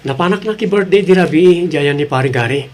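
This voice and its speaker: A sensible husband played by a man in an Ilocano audio drama. His voice is notably high for a man, with an Ilocano Filipino accent. He is earnest, moralizing and disapproving. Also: brisk, over music, natural voice. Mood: concerned.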